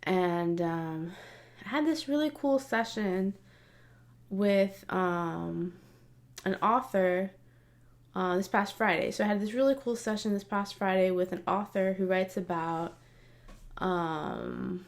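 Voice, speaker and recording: Woman, late thirties, casual vlog chat, laughs often, lots of fillers, mid pitch. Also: slow pace. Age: 30s. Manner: chatty, laughs often, many "like"s and "um"s. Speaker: vlogger. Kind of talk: casual personal vlog monologue.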